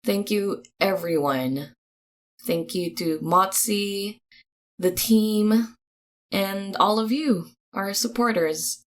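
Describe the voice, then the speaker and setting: A woman reading podcast credits in a chatty, informal, upbeat announcing style, with expressive delivery. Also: mid-range voice, slow pace.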